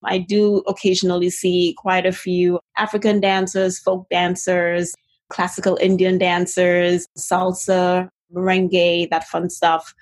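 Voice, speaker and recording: Guest: middle-aged woman, slight Trinidadian lilt, husky tone, earnest and articulate interview answers. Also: on the low side, completely flat delivery, a little slow. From Trinidad and Tobago. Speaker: physical therapist guest.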